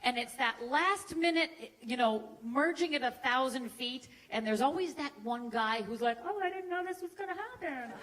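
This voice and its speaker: A woman's voice: high-pitched, expressive, normal speed, hardly any pauses, fairly bright tone, a little hiss or hum, rough recording. A woman doing conversational, observational stand-up comedy.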